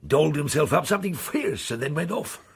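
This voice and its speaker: An actor playing an earthy, leering old sea captain in a radio drama, talking in a colloquial, teasing, insinuating way. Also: male voice, fairly high pitch, animated, brisk, gravelly, slightly rough, exaggerated voice. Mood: gossipy.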